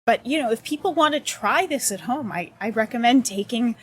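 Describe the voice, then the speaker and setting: A woman's voice: a little high, lively intonation, a little fast, slightly rough. A woman talking as a guest in a casual interview, thoughtful and searching for her words. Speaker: accessibility consultant, guest.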